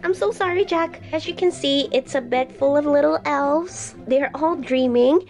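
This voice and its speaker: A woman's voice: sits high, moderate pace, a little mellow, soft background music. A woman unboxing toy figures in a casual vlog, gushing and repeating words for emphasis while she talks through a lingering flu. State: recovering from flu, breathing heavily.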